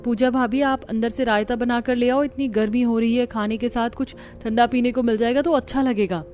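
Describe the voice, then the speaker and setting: Cartoon family story: chatty, bossy visiting relative played by a woman, fairly high pitch, light music behind. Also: steady tone, a little fast, a little mellow, close to an everyday voice. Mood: demanding. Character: demanding, chatty visiting married sister-in-law. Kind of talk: Hindi animated family-drama story acting.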